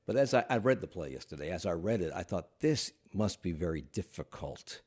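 Older guy hosting a radio talk show, lively up-and-down delivery, lots of uhs. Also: deep voice, a little fast, breathy edge. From Washington, D.C. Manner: long winding questions with fillers. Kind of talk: radio interview.

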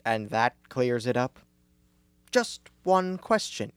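A young man acting a gruff, impatient American baseball boss who barks slangy, brusque lines in a radio comedy. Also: medium pitch, very animated, slow pace, dry recording, studio quality. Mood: exasperated. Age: young adult.

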